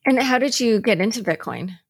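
A woman's voice: fairly high pitch, lively intonation, fairly quick, vocal fry, breathy edge, no room sound. A woman asking questions in a casual, conversational way as an interviewer. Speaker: interviewer, host.

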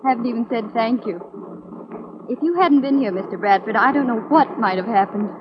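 A woman plays a refined young frontier lady, spirited and a little guarded, in a radio western. Her voice is high and genteel. It comes through a dull 1940s broadcast sound. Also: fairly quick, noisy background, close to an everyday voice. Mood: cool, polite. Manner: genteel, coy, proud. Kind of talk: 1940s radio drama acting.

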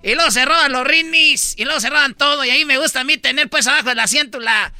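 A man's voice: fast talker, bright, forceful tone, no room sound, exaggerated voice. An actor playing a nosy, fussy older man, chattering, teasing and singing comic verses in a radio sketch. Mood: mocking. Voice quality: high, squeaky put-on voice. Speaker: radio comedy character. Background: music.

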